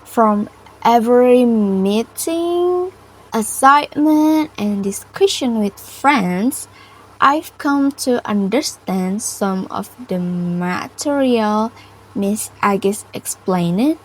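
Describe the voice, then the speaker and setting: Slow-paced young Indonesian woman. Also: fairly high pitch, animated, low-quality recording. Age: young adult. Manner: halting, careful non-native English with uneven grammar. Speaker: university student. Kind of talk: prepared personal reflection to camera.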